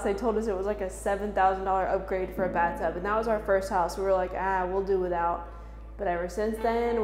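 A woman's voice: medium pitch, darkish tone. Chatty young woman in echoey empty rooms. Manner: chatty and upbeat, laughs often. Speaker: vlogger giving a house tour. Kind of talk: casual house tour narration. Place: large empty house, indoors. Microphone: camera mic from a few steps away. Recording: roomy reverb in empty rooms, music underneath.

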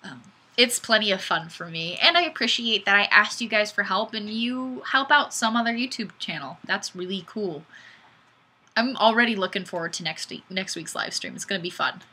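A woman's voice: medium pitch, moderate pace, husky. Bubbly young woman hosting a webcam livestream. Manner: bubbly and goofy, bursts of squealing excitement. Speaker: livestream host. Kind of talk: casual livestream chat reading comments. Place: small indoor room, plain wall. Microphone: webcam mic.

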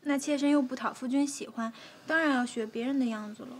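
A woman's voice: high voice, steady tone, brisk, breathy edge. A woman voicing a coy teenage concubine who is sweet, wheedling and playfully scheming, in an audio drama. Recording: background music under the voice.

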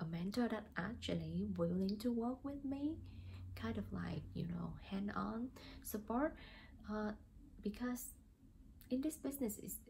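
A woman's voice: medium pitch, gravelly, a little mellow, a little hiss or hum, low-quality recording. A young woman from Vietnam with a Vietnamese accent, talking casually to camera as a new real estate agent, hesitant with many fillers. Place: small plain indoor room.

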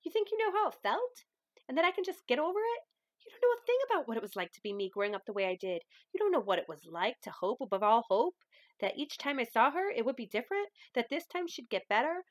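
A woman's voice: sits high, expressive, fast talker, no room sound. A woman reading a novel aloud as a hurt, defensive woman of thirty-one, emotional and pleading. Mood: hurt, angry.

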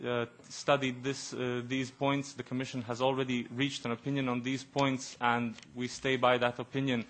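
Measured man at a committee desk mic. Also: mid-range voice, fairly bright tone. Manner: measured, with frequent hesitant "uh" fillers. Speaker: European Commission representative. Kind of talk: formal committee statement. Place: large parliamentary committee room. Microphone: desk microphone.

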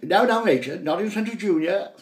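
A man voicing a pompous, plummy upper-class country gentleman father. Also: high-pitched, lively intonation, a little fast, pitched above the performer's own voice. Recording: roomy echo.

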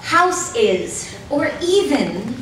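A woman's voice: high-pitched, very animated, a bit bright. Theatrical woman reading on an echoey stage. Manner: theatrical, mock-indignant, drawn-out pronunciations. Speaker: stage performer reading letters. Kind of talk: dramatic reading of letters on stage. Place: large event studio stage with audience. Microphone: stand mic, recorded from far camera. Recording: echoey hall, distant mic, room noise.

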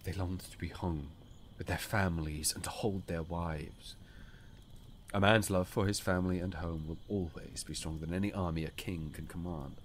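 Weary, commanding king for an audiobook, played by a male narrator: low voice, grave formal delivery. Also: steady tone, moderate pace, slight background noise, natural voice. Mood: brooding. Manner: formal, grave, archaic phrasing. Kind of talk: audiobook character dialogue.

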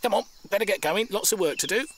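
Scheming British workman for audio drama: cynical, conspiratorial, highish animated voice, faint outdoor noise behind. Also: fast. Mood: brisk, wary. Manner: blunt, conspiratorial, dismissive. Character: cynical, scheming tradesman with a grudge against the countryside.

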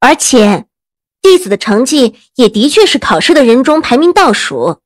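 High, lively young girl student. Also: normal speed, no room sound, clean studio sound. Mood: mock respectful. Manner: mock-earnest, polite. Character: cheeky, quick-witted young girl student. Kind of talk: period audio drama acting.

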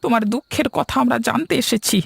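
A woman's voice: on the high side, fast talker, gravelly, slightly rough, no room sound, full character voice. A woman voicing a determined, earnest villager out to help a ghost in a horror cartoon. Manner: resolute, earnest.

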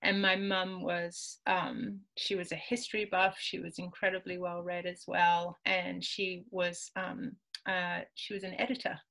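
A woman's voice: lowish voice, little pitch movement, unhurried, vocal fry, hardly any pauses, sharp tone. A woman in her forties, a Spanish native speaker from Peru, talking casually as an author guest over a video call from her home, with many ums and easy laughs. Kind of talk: casual conversational interview. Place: home room with bookshelves. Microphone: video call webcam.

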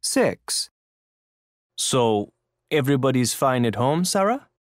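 A man reading scripted language-course lines with clear enunciation. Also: mid-range voice, sing-song, slow pace, no echo, studio quality. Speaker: announcer and dialogue actor.

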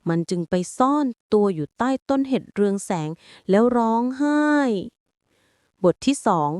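A woman reading a bedtime story aloud in a slow, soothing way. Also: a little high, animated, a little mellow, no echo, studio quality.

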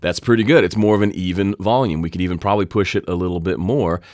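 A man in his thirties with a slightly nasal voice, explaining podcast audio steps in a friendly, even tone, recorded close on a studio mic in his home studio. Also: deep voice, fast, over loud music. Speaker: audio tutorial host. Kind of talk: screen-recorded software tutorial. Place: home studio with keyboards and guitars. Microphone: studio condenser mic close up.

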